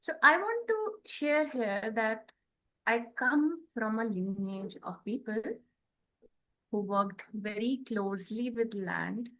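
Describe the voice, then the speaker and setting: Woman, very slow delivery, fairly high pitch, halting phrases, reflective conversation. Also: lively intonation, a bit bright, rough recording. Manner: halting, pausing between short phrases. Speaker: podcast co-host.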